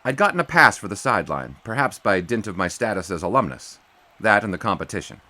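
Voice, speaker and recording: An actor playing a wry young sportswriter who also narrates, in a 1920s audio drama. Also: male voice, on the low side, very animated, fast talker, sharp tone. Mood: narrating. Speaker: narrator and lead character.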